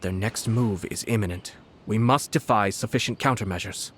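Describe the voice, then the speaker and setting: An actor playing a composed noble official in a video game, speaking in a calm, measured, formal way. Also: male voice, lowish voice, slight background noise, lightly acted.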